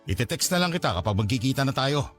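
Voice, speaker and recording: A man's voice: mid-range voice, lively intonation, fast talker, faint music behind, close to an everyday voice. An actor playing an irritable, evasive Filipino man who talks dismissively to his lover and scolds, in a radio drama. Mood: evasive, placating.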